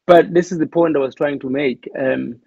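A man's voice: a little high, steady tone, normal speed, gravelly, a little mellow, slightly rough, no echo, highs cut off. A man with a South African accent, speaking as an interview guest in a thoughtful, hesitant way with many restarts. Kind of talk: reflective interview talk.

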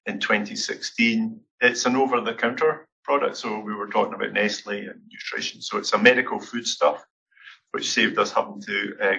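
A man gives a halting business pitch, filling his gaps with hesitations. His voice is low and gravelly, yet bright. The recording is dull and lo-fi. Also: distant mic. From Scotland. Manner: halting, many "uh" fillers. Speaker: company co-founder and CEO. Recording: dull lo-fi event audio.

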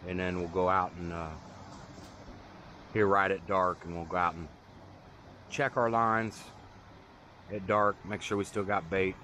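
A middle-aged man casually narrating a fishing and bottle-hunting trip outdoors, talking in a relaxed, drawling way. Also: low-pitched, unhurried, pauses often, slight background noise. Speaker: fishing vlogger. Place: outdoors on a river and sandbar. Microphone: body-worn action camera.

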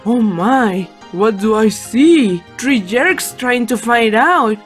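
A woman's voice: a little high, expressive, takes it slowly, faint music behind. A woman voicing a haughty, menacing ghost villainess with a theatrical drawl in a parody cartoon. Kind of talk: animated parody cartoon acting.

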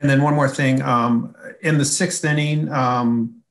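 A man, a reporter asking questions at a press conference, measured with small pauses. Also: mid-range voice, steady tone.

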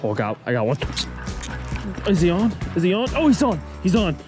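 A man narrating his own fishing challenge from a small boat on a lake, excitable and quick to holler and laugh. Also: high voice, music in the background. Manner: excitable, hollers and laughs when a fish bites. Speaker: fishing youtuber. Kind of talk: energetic fishing vlog narration.

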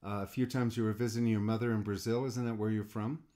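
A man's voice: fairly low pitch, little pitch movement, quick pace, warm tone. A middle-aged man, an art coach hosting a podcast interview, who often repeats words as he starts a thought. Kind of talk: interview conversation.

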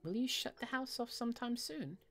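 A woman's voice: a little high, animated, brisk, a bit bright, soft background music, voice pushed up. A woman voicing a spoiled, cold and defiant young boy in a dramatic audio story.

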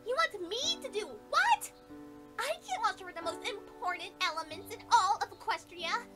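Very high, flustered young cartoon pony. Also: female voice, animated, normal speed, over loud music. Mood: panicked. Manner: flustered, repeating words. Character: anxious, excitable young pony apprentice. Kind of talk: animated fan cartoon acting.

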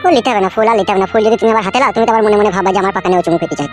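Performer doing pleading cartoon brother-in-law, rapid delivery, coarse-mouthed, exclamatory, music underneath. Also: medium pitch, close to an everyday voice. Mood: defensive, wounded. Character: scheming, lovestruck younger brother-in-law, crude and pleading. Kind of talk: comic cartoon dialogue.

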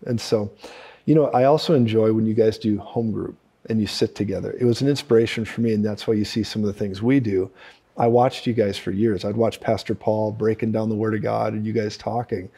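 A man's voice: fairly low pitch, fairly even intonation, brisk, warm tone, husky. A man in his forties hosting a casual broadcast interview in a studio, effusive and full of thanks. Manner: effusive, repeats praise and thanks. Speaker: host. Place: cozy studio set with armchairs.

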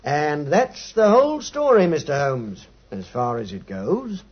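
A man's voice: on the high side, big pitch swings, a little slow, slightly rough, full character voice. A man playing a chatty, slightly sheepish English policeman with a colloquial manner in a 1950s radio drama. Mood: relishing the tale. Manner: colloquial, hesitant, chuckling storyteller. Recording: dull 1950s radio recording.

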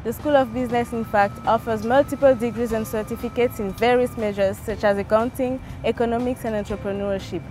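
A woman's voice: a little high, fairly even intonation, fairly quick, hardly any pauses, over music. Upbeat young woman from Ivory Coast. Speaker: student reporter. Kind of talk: scripted narration.

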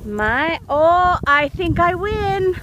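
A woman's voice: extremely high pitch, lively intonation, drawn-out words, dull audio. A young woman vlogging while catching horses in a pasture, teasing her companion in playful banter. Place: open grass pasture outdoors. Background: outdoor field noise.

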